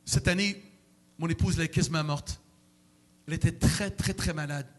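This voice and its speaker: High-voiced, emotional preacher. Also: male voice, normal speed. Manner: emotional, animated preaching with sudden loud outbursts. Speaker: pastor. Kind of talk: sermon. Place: church.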